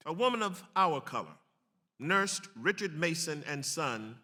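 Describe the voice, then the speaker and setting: Piercingly bright, forceful male reader in a hall. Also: fairly high pitch, big pitch swings, a little slow. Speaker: actor reading aloud. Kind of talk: dramatic reading of a historic pamphlet. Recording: long hall reverb.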